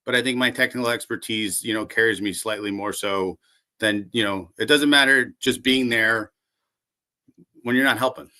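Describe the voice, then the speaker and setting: A man running for city council, answering interview questions calmly and conversationally, with lots of fillers. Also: fairly low pitch, moderate pace. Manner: calm, conversational, many fillers.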